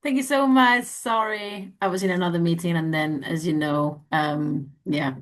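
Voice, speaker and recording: Lowish-voiced Spanish-accented woman, halting delivery. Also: steady tone. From Barcelona, Spain. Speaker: panel guest, sports researcher and club member. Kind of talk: informal self-introduction.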